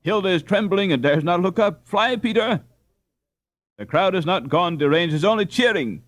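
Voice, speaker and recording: A man reading a classic children's story aloud as an audiobook narrator, with expressive, dramatic delivery. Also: high-pitched, normal speed, no echo. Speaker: audiobook reader.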